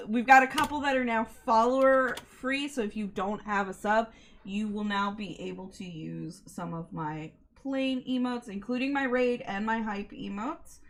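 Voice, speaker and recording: Casual woman livestreamer chatting to viewers. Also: a little high. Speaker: livestream host. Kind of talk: casual stream chat to viewers.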